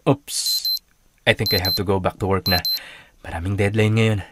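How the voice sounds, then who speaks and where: A Filipino actor playing a forgiving, introverted young office worker in conversational radio drama. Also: male voice, lowish voice, steady tone, moderate pace, background noise, close to an everyday voice. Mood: gentle, easygoing.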